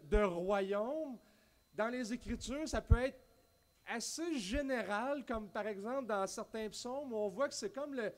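High-voiced Québécois pastor preaching animatedly. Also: male voice. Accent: Québécois French. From Quebec. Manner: animated, gesturing widely, many restarts and spoken asides. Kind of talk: sermon. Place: church stage at a wooden pulpit, indoors.

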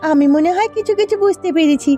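A woman's voice: extremely high pitch, fast talker, warm tone. A woman voicing an optimistic, bossy village wife in a cartoon, speaking in a coaxing, emphatic way over music. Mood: thoughtful. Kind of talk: Bengali cartoon dubbing. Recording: music under the voice.

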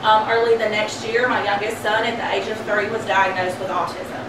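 Woman, 30s, hesitant church testimony; distant mic, very echoey hall, steady noise. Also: mid-range voice. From Kentucky, USA. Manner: halting, many ums and uhs. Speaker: program member giving testimony. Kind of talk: personal testimony.

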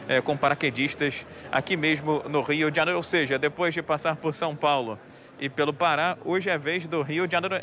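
A man's voice: fairly high pitch, pushed and bright. A man in his thirties reporting live outdoors at a crowded event, speaking into a handheld mic through a face mask, chatty with many fillers. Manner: chatty, many fillers, addresses anchor by name. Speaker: field reporter. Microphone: handheld mic, wearing a face mask. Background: crowd murmur.

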